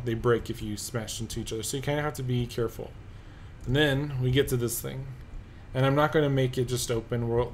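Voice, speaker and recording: A man narrating gameplay as a let's play host, relaxed and thinking aloud with many pauses and ums. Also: mid-range voice, slight background noise. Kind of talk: casual game commentary. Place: at a computer, voice-over of gameplay.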